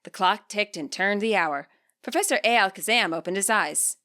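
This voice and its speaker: A woman narrates a scripted podfic at a middling pitch. She reads in a measured way, enunciating each phrase clearly. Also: bright, forceful tone. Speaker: podfic reader. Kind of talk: scripted narration, reading fiction aloud.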